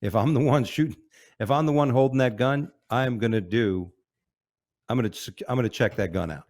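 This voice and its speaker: A man's voice: mid-range voice, fairly quick, a little mellow. A man co-hosting a talk show who speaks in a profane, emphatic, rambling way. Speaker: podcast co-host, police officer. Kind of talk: casual chat.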